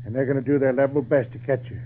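A man's voice: mid-range voice, fairly even intonation, fast, natural voice. Man playing a kindly old fellow, tinny radio. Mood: tender, solemn. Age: older. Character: kindly, fatherly old circus man, loyal and protective. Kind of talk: old-time radio drama acting. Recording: tinny, hissy 1940s radio recording.

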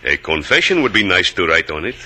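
Deep, Spanish-accented police inspector. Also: male voice, a little fast, slight background noise, full character voice. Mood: accusing. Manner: deadpan, formal foreign phrasing. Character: world-weary, drily menacing male police inspector. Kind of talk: 1940s radio acting.

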